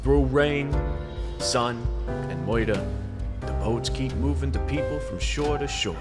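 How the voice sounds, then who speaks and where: An actor playing a world-weary, semi-retired goblin detective in a radio play, with wry hardboiled noir delivery. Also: male voice, mid-range voice, unhurried, over loud music, natural voice. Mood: brooding, wry.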